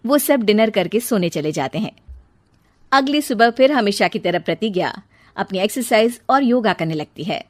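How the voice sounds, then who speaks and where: A woman's voice: mid-range voice, no echo. A woman reading scripted story narration.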